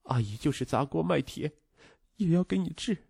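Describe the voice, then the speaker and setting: A caring stepmother from an audio story, played by a male narrator. She is gentle and consoling, with grief and resolve underneath. The voice is husky and sits in a dry, close recording. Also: a little high, lively intonation, normal speed, no echo, voice pushed up, heavily acted. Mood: tearful resolve.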